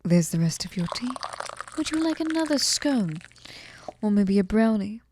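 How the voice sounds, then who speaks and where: A woman's voice: mid-range voice, very animated, normal speed, background noise, lightly acted. A woman playing a sweet, possessive, lonely fairy in an intimate ASMR roleplay, cooing breathily and often slipping into whispers close to the mic. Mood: cuddly, doting. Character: sweet, clingy, possessive female fairy hostess, lonely and obsessive. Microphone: close microphone, dry sound.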